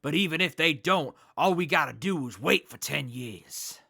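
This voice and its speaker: A man's voice: a little high, animated, moderate pace, creaky, bright, forceful tone, slightly rough, dry recording, clean studio sound, full character voice. A man playing an upbeat, slangy survivor leader in a post-apocalyptic audio drama, talking reassuringly. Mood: resolute, hopeful. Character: Badger Boy, upbeat hopeful male wasteland survivor leader.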